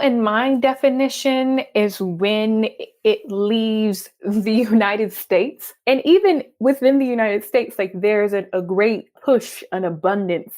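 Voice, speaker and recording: A woman's voice: mid-range voice, darkish tone. A young adult woman from Texas with a Texan accent, a freelance writer chatting animatedly and laughing often in an interview. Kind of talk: conversational interview.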